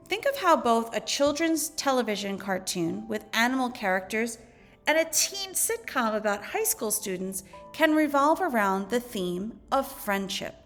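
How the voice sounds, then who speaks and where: A woman with a slightly high-pitched voice reads lesson material aloud as a teacher would. Her delivery is measured and instructional. Music plays behind her narration. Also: a bit bright. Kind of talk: educational read-aloud narration.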